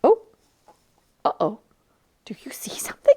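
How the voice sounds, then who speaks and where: A woman's voice: a little slow, warm tone, in a whisper. A woman presenting a children's library storytime, chatting and reading aloud in a playful, enthusiastic way.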